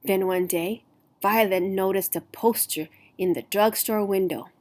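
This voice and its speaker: A woman reading a picture book aloud to children in an expressive, deliberate storytelling manner. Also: medium pitch. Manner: deliberate, animated storytelling with emphasized words.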